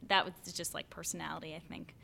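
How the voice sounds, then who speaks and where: A young American woman, an actor and singer, chatting as a podcast guest with lots of fillers and animated quotes. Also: low voice, fast, creaky, a little hiss or hum. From United States. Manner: chatty, frequent "like" and "you know" fillers, animated quoting of others. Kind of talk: casual conversational interview.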